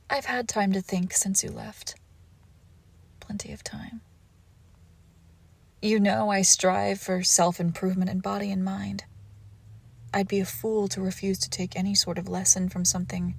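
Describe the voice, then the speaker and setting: Woman playing a creaky-voiced, suave female crime boss. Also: medium pitch. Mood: tender, regretful. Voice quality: creaky, often dropping into whisper. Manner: measured, formal phrasing with soft breathy pauses. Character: suave woman crime boss, villainous ex-lover, controlled and tender. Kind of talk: scripted romantic audio roleplay, intimate and slow.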